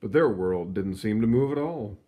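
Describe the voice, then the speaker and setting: A man reading a children's science book aloud in an expressive storytelling manner, stretching words for emphasis, with casual asides. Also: lowish voice, phone-quality sound. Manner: animated, storytelling delivery with drawn-out emphasis. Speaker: read-aloud reader.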